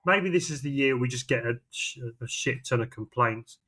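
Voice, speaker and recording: Male British co-host, mid-pitched voice, relaxed conversational style, sardonic and happy to swear. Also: normal speed. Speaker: podcast co-host. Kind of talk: casual chat.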